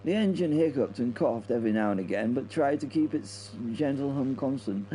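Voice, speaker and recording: A man's voice: mid-range voice, animated, normal speed, mellow, a little hiss or hum, close to an everyday voice, low-quality recording. A man playing a flustered British wing commander, telling his story hesitantly, stammering and repeating himself. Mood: wistful, subdued. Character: flustered, stammering male wing commander pilot recounting a mission. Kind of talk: dramatic first-person narration in audio drama.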